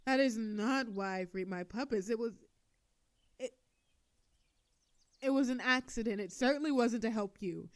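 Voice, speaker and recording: A woman playing a proud, sardonic female telepathic villain with a hidden soft side, in a theatrical scripted audio drama. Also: a little high, moderate pace, long pauses, no room sound, clean studio sound, lightly acted. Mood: defensive, flustered. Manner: theatrical, indignant then soothing.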